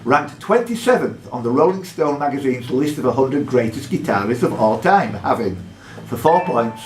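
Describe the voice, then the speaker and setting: A man working as a quiz master, reading buzzer questions aloud in a deliberate way and announcing point values between clues. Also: medium pitch, very animated. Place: pub room with contestants. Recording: echoey room with faint background noise.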